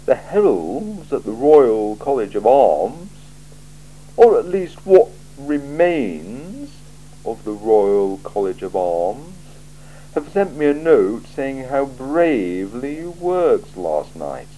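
A cool, sardonic male city ruler, put on by a man reading aloud. He speaks slowly and drily, weighing each word, in a mellow tone that rises and falls widely. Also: medium pitch, sing-song, a little hiss or hum, voice pushed up, rough recording. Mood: dry. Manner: slow, measured, dry and probing. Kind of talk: audiobook character voice.

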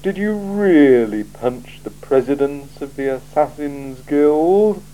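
A man voicing a cool, sardonic male city ruler in an audiobook, speaking in a slow, measured, dry and probing way. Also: fairly high pitch, lively intonation, dark tone, voice pushed up, poor audio. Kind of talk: audiobook character voice.

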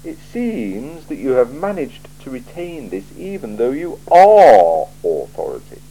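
A man voicing a cool, sardonic male city ruler in an audiobook, speaking in a slow, measured, dry and probing way. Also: mid-range voice, huge pitch swings, dark tone, husky, voice pushed up, heavily acted, rough recording. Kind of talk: audiobook character voice.

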